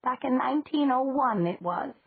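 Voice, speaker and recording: Woman doing a gentle batty old witch. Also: high-pitched, lively intonation, slightly rough, dry recording, pitched above the performer's own voice, heavily acted. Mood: gentle, rambling. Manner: slow, gentle and rambling. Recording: dry, tinny phone-like sound.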